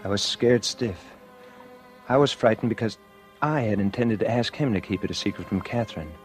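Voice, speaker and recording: A scheming, outwardly genial murderer played by a male actor confesses in a low, deliberate voice. The delivery is classic 1940s radio suspense, nervous under the surface, with faint music behind it. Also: lightly acted. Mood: tense, calculating.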